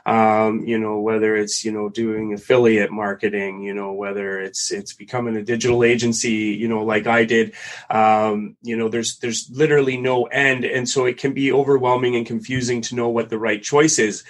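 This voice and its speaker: A man's voice: lowish voice, little pitch movement, moderate pace. A man from Canada, a guest answering interview questions conversationally and often hesitating with ums. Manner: hesitant, many ums and restarts.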